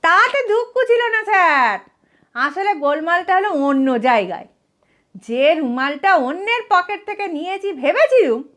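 A woman's voice: extremely high pitch, very animated, measured pace, fairly bright tone, voice pushed up, heavily acted. A woman voicing an absent-minded, hapless male thief in a comic storybook reading, chatty and deferential, with an earnest, aggrieved whine. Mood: earnest, rueful. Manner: whining, earnest, addresses listener as sir.

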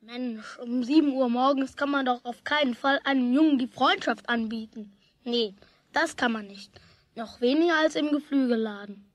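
Earnest schoolboy played by a woman for a children's audio drama; highish voice, chatty, confiding, a bit stubborn. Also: normal speed, no room sound, lightly acted. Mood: pondering. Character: earnest, stubborn young boy with big worries. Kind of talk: German radio play for children, 1970s.

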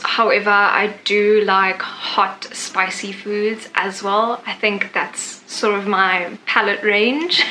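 A woman's voice: mid-range voice, sharp tone. A nineteen-year-old woman from Zimbabwe, chatting to the camera in her bedroom, upbeat and full of "like" and "yeah" fillers. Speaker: YouTuber. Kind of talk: casual facts vlog to camera. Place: bedroom with a sofa, indoors. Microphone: camera in front at desk distance.

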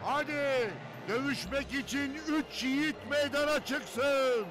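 A man voicing a fierce, belligerent war leader in an animated cartoon, with forceful delivery. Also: very high-pitched, slow pace, music in the background. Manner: forceful battle commands. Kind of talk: animated cartoon dub acting.

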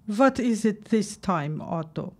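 An actress playing a devoted, concerned immigrant wife and housekeeper in an audio drama, with earnest, formal, old-fashioned phrasing. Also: female voice, medium pitch, animated, slow, darkish tone. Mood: concerned, insistent.

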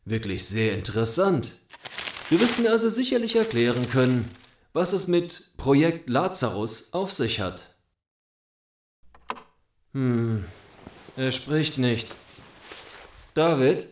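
An actor playing a smug, softly menacing gang boss interrogator in an audio drama, mock-polite, taunting and deliberate. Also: male voice, mid-range voice, lively intonation, echoey room, noisy background.

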